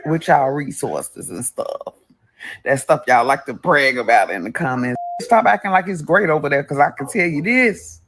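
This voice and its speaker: Crude blunt guy taunting, gravelly husky voice. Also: fairly high pitch, expressive, moderate pace, a bit bright. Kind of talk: casual opinion rant.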